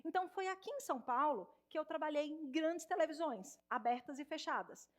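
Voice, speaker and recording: Woman, Minas Gerais accent, speech therapist, high pitch, animated, addresses audience, auditorium stage. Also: fairly quick. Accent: Minas Gerais Portuguese. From Minas Gerais, Brazil. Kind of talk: motivational stage talk.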